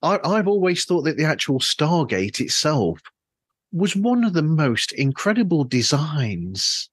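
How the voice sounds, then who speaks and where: A man's voice: on the high side, sing-song, unhurried, fairly bright tone, breathy edge, no room sound, studio quality. A man hosting a casual interview, talking in an enthusiastic, rambling way through a troublesome microphone. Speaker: podcast host. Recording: flawed, troublesome microphone sound.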